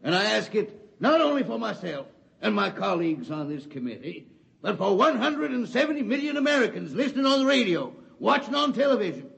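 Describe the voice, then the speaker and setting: An actor playing an older, folksy, indignant Southern US senator in a 1950s radio drama, orating righteously. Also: male voice, high voice, very animated, fairly quick, gravelly, fairly bright tone, exaggerated voice. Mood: indignant, probing. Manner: oratorical, righteous.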